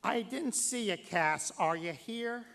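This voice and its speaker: A man giving a formal tribute speech as master of ceremonies at a banquet, speaking in a deliberate, emphatic way with dramatic pauses. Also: sits high, lively intonation, creaky, fairly bright tone, some chatter behind, a little hiss or hum.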